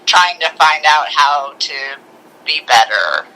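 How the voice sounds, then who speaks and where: Very bright-voiced co-host in animated casual chat. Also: medium pitch, takes it slowly, low-quality recording. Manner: acts out quoted dialogue with excited slang. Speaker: podcast co-host reviewing films.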